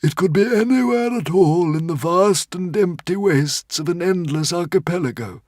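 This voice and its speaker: A man voicing a small, nervous, ancient male sea dragon with a squeaky, wavering voice. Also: moderate pace, a little mellow, dry recording, studio quality. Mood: pleading.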